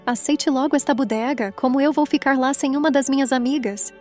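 High-pitched, bossy Brazilian girl played by a woman. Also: fairly quick, a little mellow, faint music behind, lightly acted. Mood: pushy, pleading. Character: bossy, dramatic teenage girl friend, pushy.

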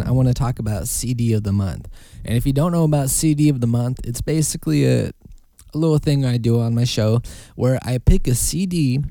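Laid-back man with a dark, mellow voice. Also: mid-range voice, no echo. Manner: loose, rambling, swears casually. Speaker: podcast host. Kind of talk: casual solo podcast talk.

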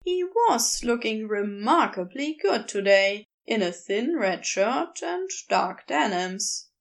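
A woman reading a story aloud as a narrator, in expressive scripted narration. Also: fairly high pitch, takes it slowly, vocal fry. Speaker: podfic narrator.

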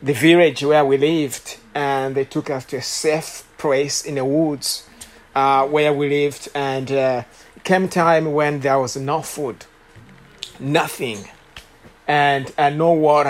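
A man in his late thirties from Rwanda, with a Rwandan accent, preaching as a guest speaker and telling his story with many pauses, fillers and restarts. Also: fairly high pitch, fairly even intonation. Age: late 30s. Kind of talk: sermon testimony.

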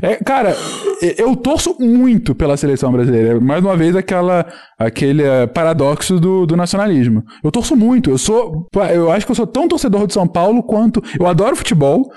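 A man in his thirties speaks with a Carioca Brazilian accent and a somewhat high, mellow voice. He is a podcast guest in casual chat, telling his stories in an animated, rambling way full of restarts. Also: fairly quick. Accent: Carioca Brazilian Portuguese. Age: 30s. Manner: animated, rambling storyteller with many restarts and fillers.

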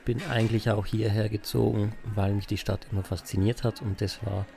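A man's voice: fairly low pitch, flat delivery, brisk, gravelly, warm tone. A man from South Tyrol with a South Tyrolean accent, chatting casually as a podcast co-host. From South Tyrol, Italy.

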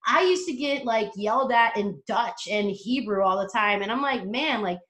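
A woman's voice: fairly high pitch, expressive, moderate pace, a bit bright, roomy sound. A woman guest on a casual podcast interview, talking brashly and confidently and swearing freely. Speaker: interview guest, motivational coach.

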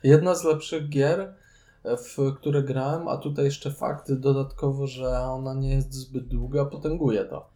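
A man's voice: mid-range voice, fairly even intonation, a little slow, warm tone. A man in casual conversation, co-hosting a podcast and giving his opinions as a reviewer. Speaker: podcast co-host reviewing board games. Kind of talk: casual chat, board game review.

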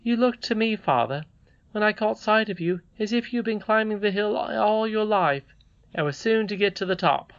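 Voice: mid-range voice, animated, moderate pace, highs cut off, voice pushed up, natural voice. A storyteller voicing an earnest young miner boy, respectful and plucky, polite but bold. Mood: fond, proud.

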